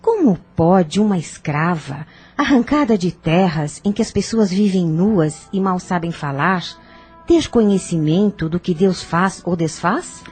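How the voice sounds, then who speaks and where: A woman's voice: on the low side, expressive, a little slow, darkish tone, faint music behind. A woman playing a proper, dismissive sister of a grieving man in an audio drama. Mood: scornful.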